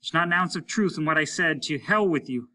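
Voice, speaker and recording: A man's voice: on the high side, expressive, fairly quick, sharp tone, voice pushed up. A man reading an audiobook, voicing a blunt, embittered, repentant aging male astronaut who speaks emphatically, as if confessing. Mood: ashamed, bitter. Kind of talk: dramatic audiobook character reading.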